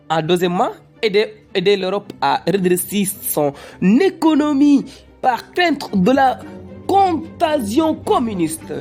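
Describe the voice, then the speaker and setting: A didactic, easily exasperated cartoon professor voiced by an amateur actor, lecturing deliberately. The pitch swings in a lively way, and music plays faintly behind. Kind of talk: educational cartoon dialogue, lecturing.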